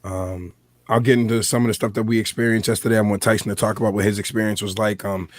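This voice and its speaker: A man with an American accent and a slightly hoarse voice, hosting a podcast in casual, reflective talk. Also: on the low side, level tone, fast talker. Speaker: podcast host.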